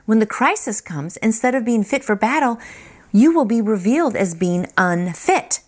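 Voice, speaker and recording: Woman, lower-pitched, encouraging devotional host. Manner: earnest, encouraging, conversational asides. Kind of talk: devotional reading with casual, encouraging commentary. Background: music.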